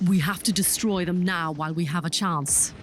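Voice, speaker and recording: Low-voiced domineering woman as a villain general. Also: fairly even intonation, normal speed, natural voice. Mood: vengeful. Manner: commanding, menacing. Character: vengeful, domineering female villain general in mech. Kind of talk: science fiction audio drama acting. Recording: faint background noise.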